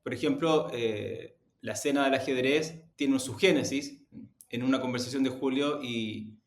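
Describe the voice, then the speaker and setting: A man's voice: medium pitch, a little slow. A man giving conversational interview answers, explaining things in a hesitant way as he searches for words. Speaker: media executive, interview guest. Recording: roomy, echoey sound.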